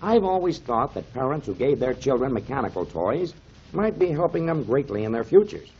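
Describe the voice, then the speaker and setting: An actor playing a kindly, avuncular mentor explaining things to children in emphatic 1930s radio style, on a muffled old recording. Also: male voice, medium pitch, big pitch swings, fairly quick, a little mellow, slightly rough, exaggerated voice. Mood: enthusiastic explaining. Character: kindly grown man, storytelling mentor to children, pipe smoker.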